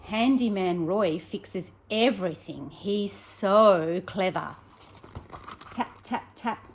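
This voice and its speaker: Slow-paced woman reading to children. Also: medium pitch, slight background noise. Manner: expressive, encouraging, addresses young children directly with questions. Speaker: primary school teacher reading to pupils. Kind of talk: picture book read-aloud with chatty asides to children.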